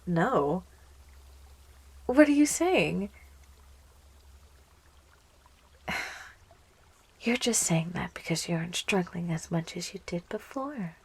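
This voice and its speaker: A woman voicing a young, possessive, lovestruck plant monster girl in an intimate, breathy, slow and coaxing ASMR roleplay, close to the microphone. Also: fairly low pitch, expressive, full character voice. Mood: possessive, insistent. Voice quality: breathy, whispery. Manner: slow, coaxing, teasing, giggly, doting.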